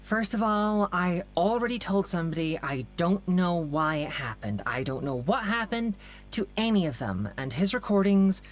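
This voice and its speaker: A woman's voice: lowish voice, expressive, moderate pace, natural voice, low-quality recording. An actress playing a businesslike woman doctor, clipped and guarded as she records an official log in an audio drama. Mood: defensive, weary.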